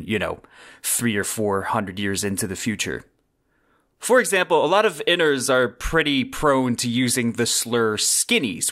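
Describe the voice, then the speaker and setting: Male podcast host, mid-range voice. Earnest, measured explanatory narration, deliberate phrasing, slightly conversational. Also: mid-range voice, fairly bright tone.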